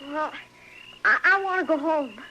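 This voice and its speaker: A performer playing a frightened young farm boy in an old radio western, halting, earnest and pleading in speech. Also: high-pitched, animated, sharp tone, heavily acted. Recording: dull, faintly noisy vintage radio recording.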